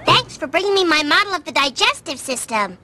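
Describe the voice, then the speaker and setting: Matter of fact cartoon schoolgirl, high swoopy kid voice. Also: big pitch swings, fairly quick. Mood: chiding. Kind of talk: cartoon video game acting. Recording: clear background noise.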